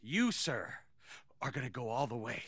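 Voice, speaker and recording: An actor playing a fast-talking, overeager small-time sports agent with an emphatic, grandiose sales pitch in a video game cutscene. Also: male voice, sing-song, a bit bright, husky, no room sound. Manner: emphatic, theatrical, salesman-like pep talk.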